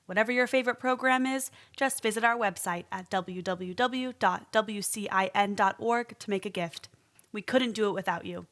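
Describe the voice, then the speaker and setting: An actress voices a woman announcing on local public radio. Her voice sits a little high, and she reads scripted items in an informative, conversational manner. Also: a bit bright, close to an everyday voice.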